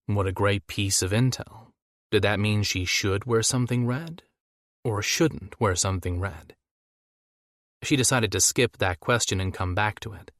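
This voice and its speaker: A man's voice: lowish voice, dry recording, studio quality. A man reading romance fiction as a scripted audiobook narration.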